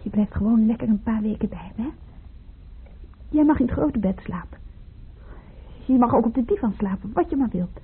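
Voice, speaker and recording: A woman's voice: fairly high pitch, lively intonation, moderate pace, long pauses, slightly rough, full character voice. An actress playing a caring, practical female friend who coaxes and comforts in a Dutch radio play. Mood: soothing. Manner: coaxing, comforting, gently chatty. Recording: tinny, noisy old radio recording.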